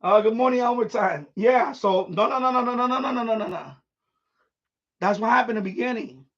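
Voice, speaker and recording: A man with a husky voice, telling stories in a blunt, repetitive, sweary way on a casual live stream. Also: sits high, a little fast. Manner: blunt, profane, repeats himself, animated. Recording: dull, lo-fi livestream audio.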